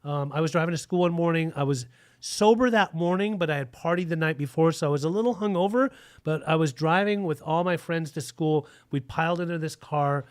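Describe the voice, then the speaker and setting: A man who was born in Germany and raised in Utah, telling his recovery story on a video call with an earnest, halting delivery. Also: a little high, smooth. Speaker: guest sharing recovery story. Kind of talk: personal testimony.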